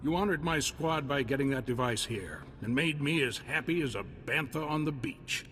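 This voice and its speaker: A man's voice: mid-range voice, normal speed, fairly bright tone, close to an everyday voice. A hearty military officer played by a man with a mid-range voice and a folksy, commanding delivery. He sounds like a video game soldier in a large reverberant space, with faint noise behind him. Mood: grave, emphatic. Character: gruff, hearty, grateful Republic military officer. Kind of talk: scripted video game dialogue.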